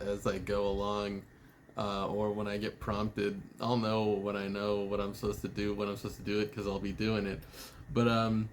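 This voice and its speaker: A man giving a casual, rambling video message to a friend, sounding a little tired and out of it, halting and teasingly irreverent, with some swearing. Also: fairly low pitch, a bit bright, slight background noise. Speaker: spiritual vlogger.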